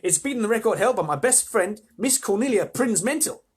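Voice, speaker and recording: A reader voicing a brash, boastful gum-chewing girl from a children's storybook, talking loud and fast in a put-on Australian accent. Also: medium pitch, animated, slightly rough, voice pushed up. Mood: defiant, loud. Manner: fast, emphatic, chattering.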